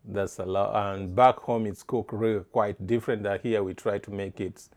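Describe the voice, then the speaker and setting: Middle-aged man from East Africa, low voice, halting, restarts sentences, interview answers. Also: fairly even intonation, a little mellow. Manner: halting, restarts phrases, searches for words.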